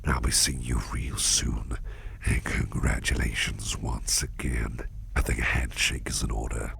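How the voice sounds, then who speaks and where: Man acting as a very deep, gravelly, kindly boss. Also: level tone, exaggerated voice. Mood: warm, reassuring. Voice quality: very deep, gravelly, breathy half-whisper. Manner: gentle, doting, unhurried, repeats reassurances. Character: kindly, reassuring businessman boss looking after a valued employee. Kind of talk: soft-spoken ASMR roleplay.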